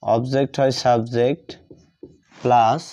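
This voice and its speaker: A man with a Bengali accent teaches grammar as if dictating, deliberately repeating phrases piece by piece. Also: medium pitch, flat delivery, dark tone. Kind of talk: dictated grammar lesson, written out step by step. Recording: dull lo-fi microphone sound.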